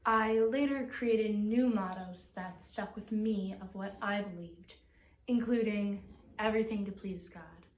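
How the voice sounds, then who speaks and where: A woman's voice: mid-range voice, unhurried, lightly acted. Young-sounding amateur's take, a woman as devout, earnest religious sister, distant. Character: devout 18th-century French religious sister, earnest interview guest. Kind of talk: amateur role-played interview. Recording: roomy, distant mic with background noise.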